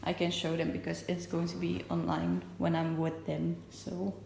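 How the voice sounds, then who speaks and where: A young woman in her twenties with a slightly raspy just-woken voice and hiccups, chatting casually while showing gifts, recorded on a handheld camera. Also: on the low side, monotone, moderate pace, darkish tone, roomy sound, a little hiss or hum. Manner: chatty, self-narrating while wrapping gifts. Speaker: vlogger. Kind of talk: casual vlog chat. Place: home kitchen and living room.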